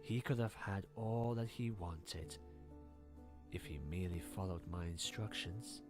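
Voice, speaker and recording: A man's voice: low-pitched, measured pace, over music. A man voicing a scheming, cruel villain pony in a cartoon, theatrical and taunting as he savors his words. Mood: smug menace. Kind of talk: animated fan drama villain acting.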